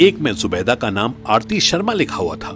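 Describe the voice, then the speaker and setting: A man's voice: mid-range voice, wildly swooping pitch, a little fast, music in the background. A man narrating a scripted audio drama story as its narrator.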